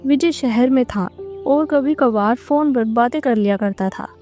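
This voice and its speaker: A woman's voice: a little high, measured pace, mellow, faint music behind. A woman narrating a scripted cartoon story as a storyteller.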